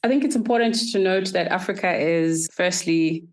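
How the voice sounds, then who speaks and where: A woman from Zimbabwe, answering podcast interview questions in a measured, structured way. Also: on the low side. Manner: measured, structured, explains in lists. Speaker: guest, startup accelerator executive.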